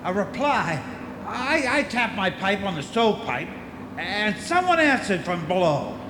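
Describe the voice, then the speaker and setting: An actor playing an anxious, stubborn older lighthouse keeper in a radio drama, agitated and halting when rattled. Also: male voice, high-pitched, sing-song. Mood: insistent, unsettled. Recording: echoey, noisy cassette of radio drama.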